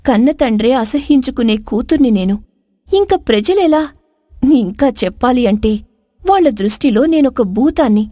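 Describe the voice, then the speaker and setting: A woman's voice: a little high, lively intonation, moderate pace, warm tone, no echo, clean studio sound. A woman voicing an earnest, wronged young princess in a moral folk tale, speaking formally and solemnly. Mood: earnest, sorrowful. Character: spurned dark-skinned young princess, earnest, wise, wronged.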